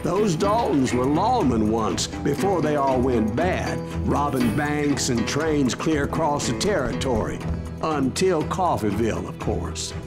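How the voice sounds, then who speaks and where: A man's voice: mid-range voice, animated, measured pace, lightly acted. An actor playing a proud Western townsman in a game, recounting the past in a folksy, plainspoken American voice. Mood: earnest recounting. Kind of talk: scripted in-character narration. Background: music.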